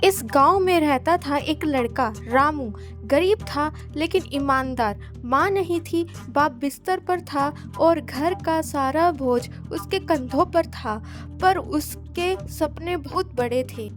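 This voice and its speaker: Slow, high-pitched woman telling a story. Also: soft background music. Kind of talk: scripted children's story narration.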